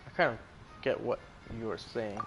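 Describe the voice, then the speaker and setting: An actor playing a calm, gently teasing young male traveler in an anime fantasy game, composed and understated. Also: lowish voice, moderate pace, vocal fry. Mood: calm, wry. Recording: music behind the voice.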